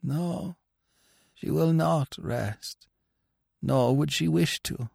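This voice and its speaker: A man doing a hushed, anguished old priest. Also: a little high, extremely slow, gravelly, breathy edge, dry recording, studio quality, voice pushed up, exaggerated voice. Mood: sorrowful. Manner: hushed, pleading inner speech. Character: devout elderly village priest, anguished and pious. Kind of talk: audiobook reading.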